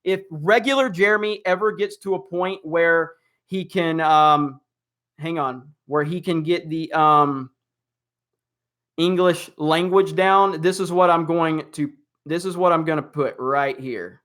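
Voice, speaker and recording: A man's voice: a little high, unhurried, a bit bright. Chatty, rambling male live streamer. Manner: rambling, chuckling often, many fillers. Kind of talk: casual live stream chat reading comments.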